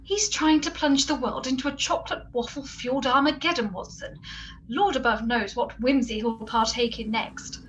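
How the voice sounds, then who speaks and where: A woman plays a loyal, bossy gadget expert in a comedy radio play. She speaks in a fairly high voice with brisk, emphatic, deadpan delivery over faint noise. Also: close to an everyday voice, low-quality recording. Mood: earnest, firm.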